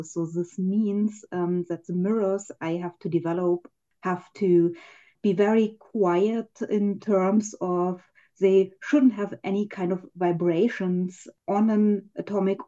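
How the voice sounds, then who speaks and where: A woman's voice: on the low side, dark tone, highs cut off. A woman researcher answering interview questions, explaining her work in a friendly way with a few hesitations. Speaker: physicist, interview guest.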